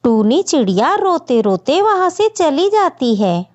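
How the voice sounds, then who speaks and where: A woman's voice: high-pitched, big pitch swings, a little slow, a little mellow, highs cut off. A woman narrating a scripted children's story as the storyteller.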